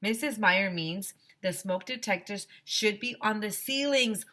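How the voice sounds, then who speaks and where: A woman's voice: mid-range voice, a little slow, pushed and bright. A woman reading a storybook voices an eager, prim, complaining know-it-all schoolgirl. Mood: prim, exasperated.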